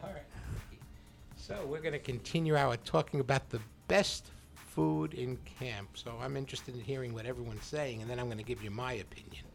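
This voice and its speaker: A man's voice: medium pitch, brisk, vocal fry, soft background music. A young man hosting a camp radio show, prompting guests to introduce themselves. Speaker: student radio host. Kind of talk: casual chat.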